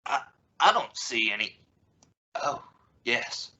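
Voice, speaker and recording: A man's voice: mid-range voice, sing-song, slow pace, pushed and bright, dull audio, full character voice. A man playing an exasperated, stammering father in an old-time radio family comedy. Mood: dismissive. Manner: stammering, hesitant, blustering. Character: flustered, exasperated, good-natured suburban father and husband. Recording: very noisy radio-play recording.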